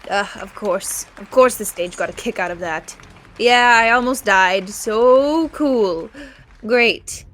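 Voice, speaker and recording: A woman's voice: medium pitch, takes it slowly, a bit bright. A woman speaking as a player in a tabletop game. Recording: faint music behind the voice.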